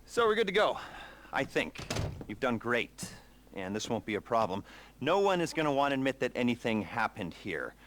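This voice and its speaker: Wry, slippery heist mastermind, medium pitch. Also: male voice, big pitch swings, normal speed, fairly bright tone, a little hiss or hum. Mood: reassuring. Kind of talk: video game cutscene monologue.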